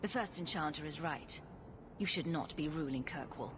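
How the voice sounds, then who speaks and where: A woman's voice: sits low, normal speed, noisy background, natural voice. An actress playing a sarcastic female rogue hero in a fantasy game, speaking in a dry, direct way. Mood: challenging. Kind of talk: fantasy video game acting.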